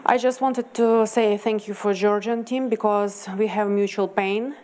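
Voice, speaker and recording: A woman's voice: mid-range voice, fairly even intonation, a little slow, darkish tone, a little hiss or hum. A Ukrainian woman, a veteran in the audience, thanks the team in direct, grateful English.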